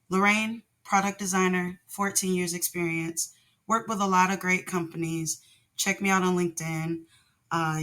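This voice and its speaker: Hoarse, deep-voiced woman vlogger. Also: a bit bright. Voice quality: naturally deep voice. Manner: rambling, with many ums and restarts. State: hoarse from laryngitis. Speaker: channel host, product designer. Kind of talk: casual tutorial vlog.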